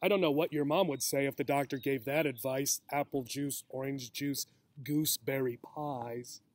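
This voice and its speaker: A man's voice: mid-range voice, steady tone, normal speed, no echo. A man in his forties reading a picture book aloud as a teacher, with simple, encouraging talk for young children. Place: outdoors in a grassy yard. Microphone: camera or laptop mic at about arm's length.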